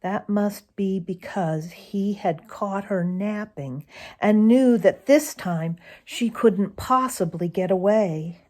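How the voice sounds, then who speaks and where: Female storybook reader: very unhurried, expressive, dramatic phrasing, medium pitch. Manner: deliberate, dramatic, addresses young listeners with questions. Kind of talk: expressive children's story narration.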